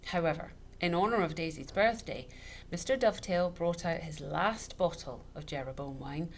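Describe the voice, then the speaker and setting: Woman storyteller, slightly low voice, expressive scripted narration, dramatic emphasis, noticeable background noise. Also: normal speed. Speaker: storyteller reading a children's book.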